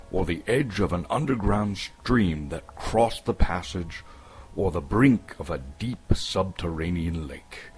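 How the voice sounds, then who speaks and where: A man narrates a story in a deep, slow voice. His delivery is theatrical and suspenseful, rising and falling widely, with emphatic pauses. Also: breathy edge. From Canada. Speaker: actor reading an audiobook.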